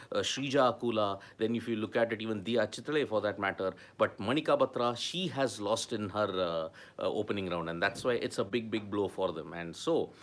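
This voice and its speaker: A man's voice: mid-range voice, a bit bright. A man with an Indian English accent presenting a sports news roundup, stressing key words and repeating them for emphasis.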